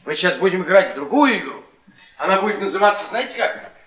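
Sarcastic middle-aged husband near breaking, pitch all over, echoey radio play. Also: male voice, lively intonation, quick pace, a bit bright. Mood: manic sarcasm. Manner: sardonic, volatile. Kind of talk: stage-style radio play acting. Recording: echoey old radio play, crowded background sound.

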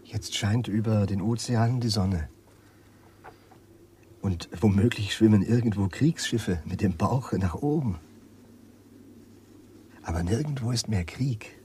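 A calm, reflective man in a 1980s radio play. His voice is low and mellow, and he muses very slowly. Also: a little hiss or hum. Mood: musing. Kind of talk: 1980s radio drama acting.

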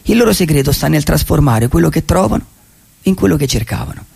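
An actor playing a wry, dreamy male vagabond in a poetic radio monologue, musing aloud with rhetorical questions and deliberate pauses in a husky, gravelly voice. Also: on the high side, very animated, a little mellow, exaggerated voice. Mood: fond, amused. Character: wry, dreamy male vagabond storyteller, society's gentle outcast.

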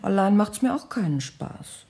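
A fragile, guilt-haunted wife in a radio thriller. Her voice is husky and very animated in pitch. She is fretful and reluctant, with anxiety running through her words. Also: moderate pace. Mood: reluctant, wistful. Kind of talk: radio crime drama acting.

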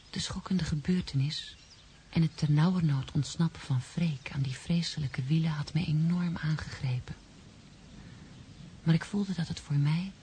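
An actress playing a sincere, shaken yet resolute young woman in a radio drama, soft, breathy and confiding. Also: low-pitched, a little hiss or hum, natural voice. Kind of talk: literary radio drama narration.

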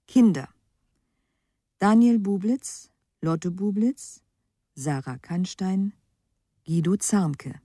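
A woman radio announcer reading scripted credits in a calm, formal, measured manner. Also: medium pitch, no room sound, studio quality. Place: dry studio.

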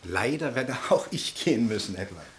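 An actor in a German radio play voicing a civil gentleman guest making small talk, on a slightly noisy recording. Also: medium pitch, lively intonation, moderate pace, lightly acted. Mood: polite small talk.

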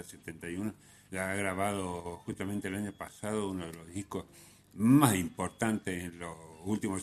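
A man hosting a radio music show, giving unscripted commentary in a halting way, often hesitating and correcting himself. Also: sits low.

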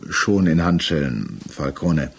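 An actor in a 1960s radio crime drama playing a grave legal official who accuses formally and deliberately, with weighty pauses. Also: male voice, a bass voice, expressive, vocal fry, breathy edge, full character voice. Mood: grave, accusing.

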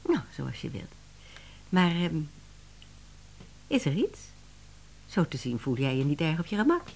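An actress playing a wry, harried middle-aged woman editor in a Dutch radio play, expressive and exclamatory. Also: low-pitched, a little slow, vocal fry, full character voice. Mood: brisk, welcoming.

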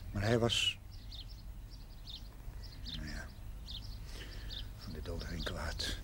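A man playing a resigned, reflective retired schoolmaster of sixty-six in a television drama, speaking haltingly with pauses mid-sentence. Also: medium pitch. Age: 60s. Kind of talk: naturalistic 1970s television drama acting. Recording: noisy old TV soundtrack, birdsong behind. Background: birdsong, ambient noise.

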